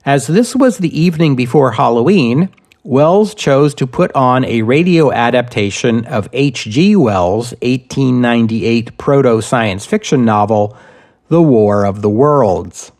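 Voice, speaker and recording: A man reading scripted history narration as a podcast host, with measured, deliberate phrasing. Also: mid-range voice, expressive, darkish tone.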